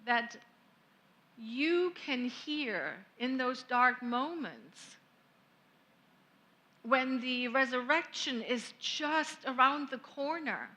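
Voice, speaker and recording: Slow bright-voiced woman minister. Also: high-pitched, lively intonation. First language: German. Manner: gentle, reflective, unhurried with long pauses. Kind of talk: sermon. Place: church sanctuary.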